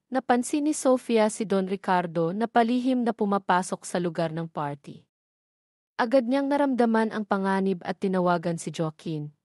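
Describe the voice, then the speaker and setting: A woman narrating a love story in a scripted audio drama, reading in a steady, even way. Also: mid-range voice, no echo, studio quality.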